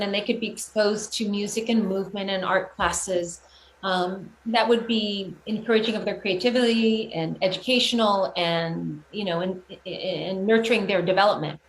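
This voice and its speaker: A middle-aged woman giving reflective, earnest interview answers about her own career, often halting and rephrasing as she goes. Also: medium pitch, steady tone. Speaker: guest, children's music performer and teacher. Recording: roomy echo, clear background noise.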